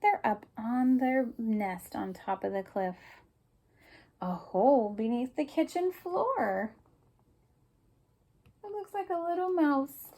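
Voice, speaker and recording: A woman's voice: sits high, animated, slow pace, pauses often, dark tone. A woman teacher reading a picture book aloud to young children, explaining simply and prompting them with questions.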